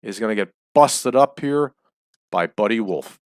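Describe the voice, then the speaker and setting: Male let's-play host, low and close, chatty, voice slightly dry. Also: lively intonation, a little slow, clean tone, dry recording. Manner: chatty, explains choices as he goes. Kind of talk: casual game commentary. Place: small home room.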